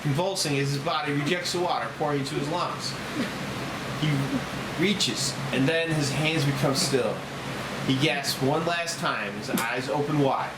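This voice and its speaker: A man reading screenplay stage directions as scripted narration, in measured descriptive phrases. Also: fairly high pitch. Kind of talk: scripted narration at a table read. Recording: roomy, distant mic, loud room hum.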